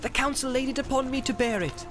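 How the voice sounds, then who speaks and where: A man's voice: very high-pitched, quick pace, over music, pitched above the performer's own voice. A man doing a small frightened hobbit. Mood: alarmed. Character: small young male hobbit, frightened but firm.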